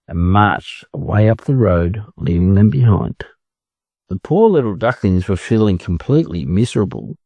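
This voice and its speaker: Australian man reading stories, mellow and animated. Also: fairly low pitch, no room sound. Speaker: storybook reader. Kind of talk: expressive children's story narration.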